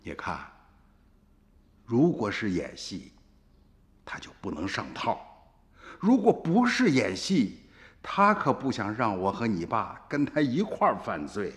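A man's voice: on the low side, wildly swooping pitch, unhurried, pauses often, a bit bright, exaggerated voice. An actor playing a stern, wily older villager, an uncle and grandfather, in a radio drama with emphatic, exclamatory rustic speech. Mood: calm, recounting. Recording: reverberant studio drama with music.

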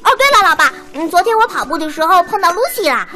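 Give me a woman playing a talkative young male dog with a very high, bright voice. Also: big pitch swings, fast, faint music behind, full character voice. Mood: chatty. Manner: chatty, fond of snacks, dreamy. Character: cheerful chatty young male water dog.

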